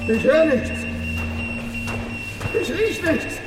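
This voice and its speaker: High-voiced searching man, echoey. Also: lively intonation, extremely slow, heavy background noise, full character voice. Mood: urgent, searching. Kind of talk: stylized radio play acting. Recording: distant, echoey, under loud music.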